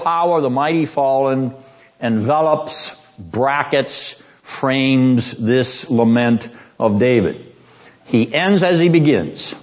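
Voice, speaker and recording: A man teaching a seminary class on biblical poetry, deliberate and emphatic, repeating his points and often clearing his throat. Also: mid-range voice, a little mellow. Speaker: seminary lecturer. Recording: dull lo-fi classroom recording.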